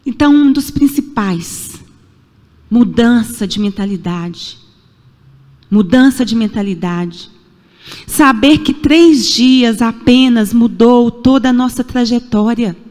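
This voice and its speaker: High-voiced Brazilian woman preaching. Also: slow pace, a little mellow. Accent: Brazilian Portuguese. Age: 40s. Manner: emphatic preaching with repetition and rhetorical questions. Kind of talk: sermon. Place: church sanctuary. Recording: echoey church hall.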